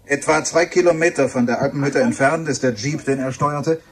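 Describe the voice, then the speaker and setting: An actor playing an earnest radio reporter giving a measured live report in a radio drama. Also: male voice, on the high side, lightly acted. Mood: grave reporting. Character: earnest male radio reporter at an accident scene.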